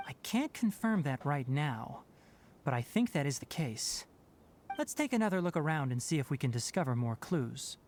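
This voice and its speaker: Composed scholarly young man, lively intonation. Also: on the high side, background noise. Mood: explanatory, serious. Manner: measured, precise, explanatory. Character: young male forest researcher, composed, exacting, scholarly. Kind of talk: scripted video game voice acting.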